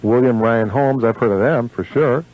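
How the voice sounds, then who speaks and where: On a dull, lo-fi radio recording, an American man talks as the host of a casual interview. His voice is a little husky, and his phrasing is informal and folksy, with words often repeated. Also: mid-range voice, moderate pace. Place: radio show.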